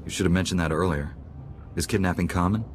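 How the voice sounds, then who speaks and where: An actor playing a calm, measured knightly hero in a video game. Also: male voice, low-pitched, a little hiss or hum, lightly acted. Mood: composed. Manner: composed, measured.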